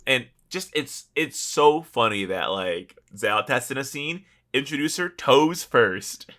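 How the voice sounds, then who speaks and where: A man's voice: mid-range voice, animated, a little slow, bright, forceful tone. A man co-hosting a casual podcast about gaming, talking in an informal, jokey way. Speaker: podcast co-host. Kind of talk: casual chat about a video game.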